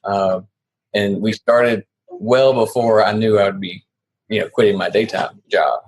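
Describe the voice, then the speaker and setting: A man's voice: on the low side, a little slow, breathy edge, poor audio. A man from South Carolina, a guest on a podcast, speaking casually and a little hesitantly, with many fillers. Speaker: guest, real estate investor. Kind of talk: casual interview.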